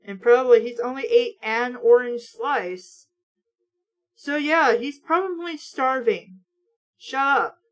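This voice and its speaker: High-voiced sarcastic woman reading aloud. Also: slow. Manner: sarcastic, exasperated, sweary, informal. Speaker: host of a mock book-reading show. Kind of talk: sarcastic read-aloud with running commentary.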